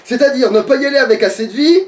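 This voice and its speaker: A man's voice: very high-pitched, rapid-fire, far from the mic, slight background noise. A man chatting casually as he plays, thinking aloud and swearing in grumbles. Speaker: let's play player. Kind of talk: casual gaming chat while playing.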